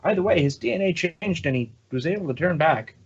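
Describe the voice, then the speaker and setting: A man with a somewhat high voice chats casually as a podcast co-host. The recording of him is a little dull and lo-fi. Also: animated, brisk, breathy edge. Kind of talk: casual chat.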